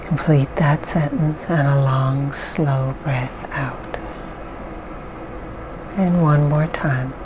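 A woman leading a guided mindfulness exercise, speaking calmly and softly with long pauses. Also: deep voice, noisy background. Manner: slow, calm, soft-spoken guidance with pauses. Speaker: therapist guiding an exercise.

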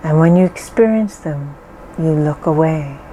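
Slow, soothing woman guiding relaxation. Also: deep voice, dark tone, a little hiss or hum, poor audio. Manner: soothing, reassuring, deliberate pauses. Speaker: guided relaxation guide. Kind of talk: slow calming guided meditation.

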